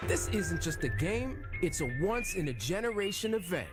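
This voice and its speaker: A man's voice: sits high, big pitch swings, normal speed. A man reading a scripted promotional radio advert as the announcer. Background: loud music.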